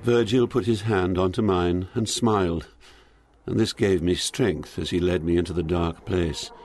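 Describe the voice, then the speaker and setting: An actor playing a poet who recounts his journey through Hell in sombre, measured literary narration, atmospheric noise behind him. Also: sits low, darkish tone. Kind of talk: dramatised audiobook, literary first-person narration.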